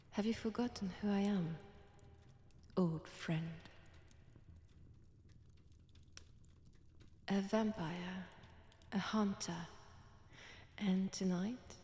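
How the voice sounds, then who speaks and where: Breathy French vampire seductress, acted by a woman, slow and menacing. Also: medium pitch, a little mellow. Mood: hushed, menacing. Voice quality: breathy, often dropping toward a whisper. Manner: slow, teasing, menacing, deliberate pauses. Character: seductive, predatory female vampire toying with her captive. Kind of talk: intimate audio roleplay, addressed to the listener.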